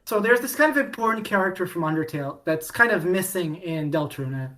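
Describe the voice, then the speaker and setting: Lower-pitched woman telling a mock-dramatic story. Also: animated, brisk, fairly bright tone. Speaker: podcast co-host. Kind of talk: casual fandom chat.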